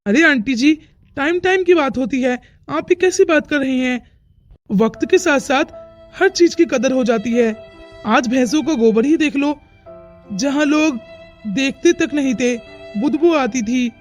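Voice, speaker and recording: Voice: high-pitched, animated, unhurried. A performer voicing an earnest, persuasive young village man in a cartoon moral story. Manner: insistent, reassuring. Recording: music behind the dialogue.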